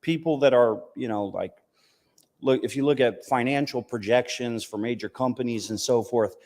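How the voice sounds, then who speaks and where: A man's voice: mid-range voice, moderate pace, a little mellow. An American man giving an informal religious talk in a conversational way, often checking in with his listeners. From United States, Southern family from West Virginia, partly raised in Palestine. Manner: conversational, punctuated with "you know" and "right?" checks. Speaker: Islamic teacher giving a talk. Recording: reverberant hall.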